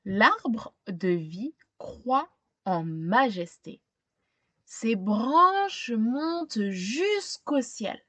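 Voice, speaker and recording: Expressive woman reading slowly, lively swooping pitch. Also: dry recording. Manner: expressive, pausing to ask simple questions. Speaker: storytime reader. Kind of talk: children's picture book read-aloud with questions to listeners.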